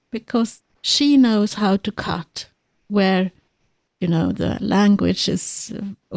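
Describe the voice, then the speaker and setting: A woman's voice: medium pitch, vocal fry, dark tone, no room sound. A woman with a Swedish accent, a podcast host speaking earnestly and reflectively in an interview conversation. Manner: earnest, reflective, frequent restarts.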